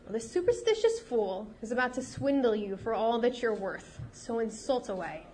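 A wry, mocking young swindler speaks, cunning and sure of herself, voiced by a woman reading her own novel. The voice sits fairly high and sounds a little off from a cold. Faint background noise hangs beneath it. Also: animated, moderate pace, darkish tone, close to an everyday voice. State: getting over a cold.

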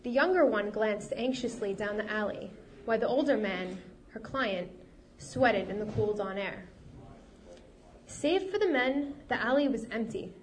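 A woman author reading her novel aloud at a live event, steady and expressive, her voice a little off while she gets over a cold. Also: mid-range voice, measured pace, a little hiss or hum. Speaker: fantasy author.

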